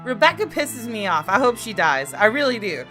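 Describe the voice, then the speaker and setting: A woman hosting a gaming video, commenting on a visual novel and reading its narration aloud in an expressive, dramatic way. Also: a little high, normal speed. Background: game music.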